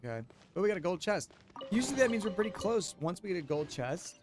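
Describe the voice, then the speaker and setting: A man's voice: high voice, sing-song, fast talker. A man doing casual, slangy live gameplay commentary, thinking aloud as he streams. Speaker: game streamer. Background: video game music.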